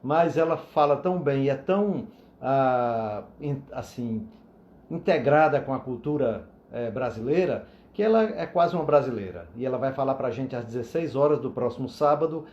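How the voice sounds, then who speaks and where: A man's voice: medium pitch, darkish tone. A man hosting a live video interview, chatty and full of fillers and asides. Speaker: live stream host. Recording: echoey, dull lo-fi stream audio.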